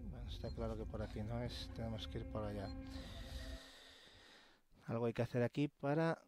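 A man's voice: medium pitch, moderate pace, music in the background. A man with a Castilian Spanish accent doing casual gameplay commentary, sweary and muttering to himself. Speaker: gamer, let's play host. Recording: noisy game sound behind voice. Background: horror game ambience.

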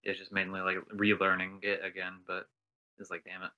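A man's voice: low-pitched, monotone, moderate pace, bright, forceful tone, poor audio. A young man hosting a podcast, laid-back and casual, telling anecdotes with some mild swearing. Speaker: podcast host.

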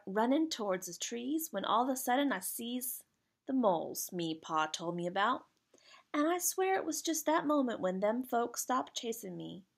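A plucky working-class boy from a children's storybook, played by a woman, with a creaky edge to the voice. He talks in slangy, rough grammar and is friendly and earnest, sitting higher than the reader's own talk. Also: exaggerated voice. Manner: earnest, colloquial, dropped-grammar speech. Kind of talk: children's storybook character read aloud.

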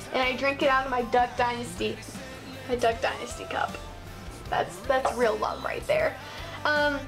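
A young woman with a Southern Ohio twang, chatting casually to her webcam in a small bedroom, bubbly and gushing, full of ums and likes. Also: high voice. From Midwest United States. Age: young adult. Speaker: vlogger. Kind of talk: casual chat to camera. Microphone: built-in webcam mic.

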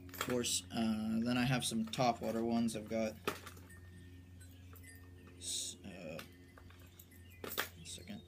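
A young man talks casually and off the cuff, as if showing his gear to a friend. His delivery is slow and halting, with little movement in pitch. He is recorded outdoors on a covered porch, with some background noise around him. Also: fairly even intonation. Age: young adult, late teens or early twenties. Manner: halting, low-key, trailing off while handling items. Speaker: amateur angler presenting his tackle. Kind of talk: casual unscripted show-and-tell. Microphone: phone or camera at arm's length.

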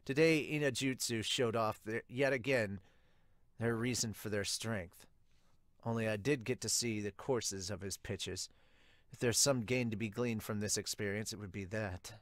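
A man voicing a calm, determined teenage baseball catcher in a manga dub, measured and matter-of-fact. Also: on the low side, no room sound, close to an everyday voice.

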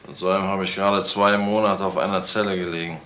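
A man's voice: low voice, level tone, quick pace, fairly bright tone. An actor playing a brutal, domineering middle-aged ex-convict father in a crime radio play, taunting and coarse. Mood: menacing contempt. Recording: roomy radio play with faint noise.

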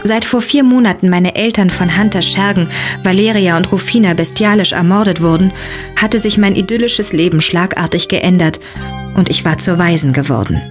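A woman playing a world-weary young demon hunter and high school student in a German audio drama. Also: normal speed, close to an everyday voice. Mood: reflective, sombre. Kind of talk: first-person audio drama narration and dialogue. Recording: music under the voice. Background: music.

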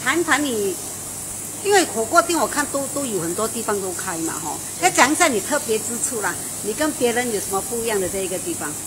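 A bubbly middle-aged woman runs a casual food show, her voice sitting fairly high. She chats enthusiastically, full of exclamations and fillers. The noisy restaurant around her comes through clearly on a handheld phone camera. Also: very animated, fast. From Malaysia. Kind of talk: casual restaurant review chat. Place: inside a restaurant.

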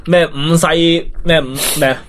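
A man with a Hong Kong accent trades casual, satirical remarks as a show host. His voice sits a little high and his tone is mock-serious. There is faint noise in the recording. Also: steady tone, moderate pace, a bit bright.

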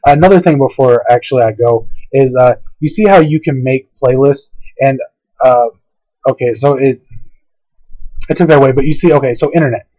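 A man narrating a casual, unscripted software tutorial, hesitant and rambling, his voice tiring as his throat gives out. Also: medium pitch, normal speed, no room sound. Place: indoors at a computer, screen-capture voice-over. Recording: dull lo-fi home screen recording.

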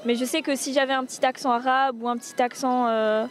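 A young woman in her twenties giving a measured personal account in a street interview outdoors. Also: high-pitched, fairly even intonation.

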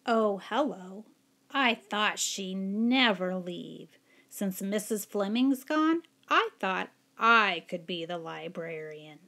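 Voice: medium pitch, takes it slowly, gravelly, bright, forceful tone, exaggerated voice. A woman voicing a mischievous storybook pigeon who pleads and wheedles with dramatic outbursts. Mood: eager scheming. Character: pleading, mischievous young male pigeon scheming to be librarian.

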